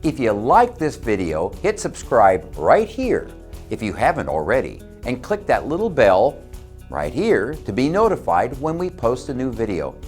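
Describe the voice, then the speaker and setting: A man's voice: mid-range voice, huge pitch swings, unhurried, a little mellow, faint music behind. A man hosting a farm show, interviewing a guest and narrating with folksy interjections.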